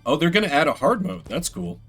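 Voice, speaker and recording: A man's voice: a little high, very animated, brisk, fairly bright tone, husky, faint music behind. A man giving casual live game commentary as a streamer, rambling with playful, self-deprecating asides.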